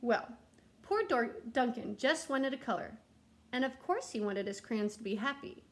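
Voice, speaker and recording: Woman, thirties, children's read-aloud narrator, voice a bit high. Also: lively intonation, moderate pace. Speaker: teacher reading aloud. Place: living room at home.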